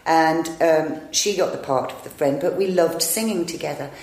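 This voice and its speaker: Woman in her fifties, chatty storyteller, low-ish voice, echoey room. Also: normal speed, a little mellow. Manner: chatty, self-deprecating, many restarts. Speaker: actress and improviser, interview guest. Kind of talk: rambling anecdotal storytelling.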